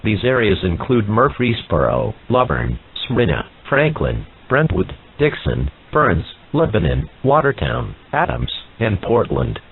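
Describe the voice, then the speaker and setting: A man reading a scripted emergency broadcast announcement in a formal, measured manner, as an alert announcer. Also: fairly low pitch, huge pitch swings, creaky, slight background noise. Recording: muffled narrowband radio-like sound.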